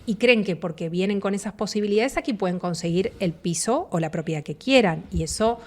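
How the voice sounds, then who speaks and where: An Argentine woman being interviewed on radio about her relocation work. She explains in long, fluent stretches with an Argentine accent and a voice of ordinary pitch for a woman. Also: fairly quick. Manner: fluent, explanatory, animated asides. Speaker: relocation agent, guest.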